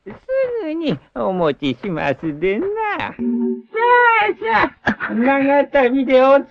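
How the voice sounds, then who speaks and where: An actor playing a huge anime villain who poses as an old woman, with exaggerated, drawn-out, theatrical speech. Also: very high-pitched, sing-song, gravelly, dry recording, muffled recording, exaggerated voice. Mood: fawning, wheedling. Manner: exaggerated, drawn-out, servile old-folk speech turning gloating.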